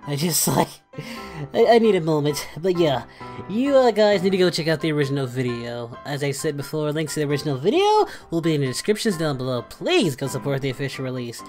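Female actor as boyish cartoon fighter hosting reactions: excitable, goofy, theatrical, lower register, very animated delivery. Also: brisk, faint music behind. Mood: flustered, amused. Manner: theatrical, exclamatory, prone to squeals. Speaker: reaction video host. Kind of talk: casual excitable reaction commentary.

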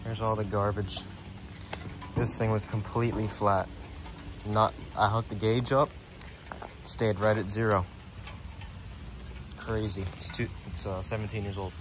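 A man's voice: on the low side, flat delivery, a little slow, long pauses. A man working as an HVAC technician, narrating a job in an offhand, matter-of-fact way outdoors on a phone camera. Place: outdoors beside AC units, rainy. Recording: loud outdoor background noise.

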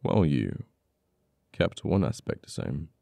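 A man acting a very deep, gravelly, taunting official. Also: very animated, mellow, no room sound, exaggerated voice. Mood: wistful teasing. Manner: taunting, deliberate, with dramatic pauses. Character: uptight government official, cold, teasing, mournful toward captured former friend. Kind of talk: ASMR audio roleplay.

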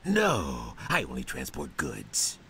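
An actor playing a gruff, boastful, irritable pirate smuggler in a video game, brash and gravelly. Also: male voice, rumbling low, wildly swooping pitch, slow pace, pushed and bright, a little hiss or hum, full character voice. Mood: defensive. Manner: brash, cursing.

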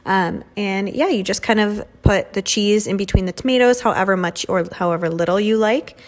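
Young woman, mid-pitched, relaxed chatty vlogger narrating her home cooking. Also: moderate pace. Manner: chatty, many "um" and "like" fillers. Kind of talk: casual cooking voice-over. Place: home kitchen.

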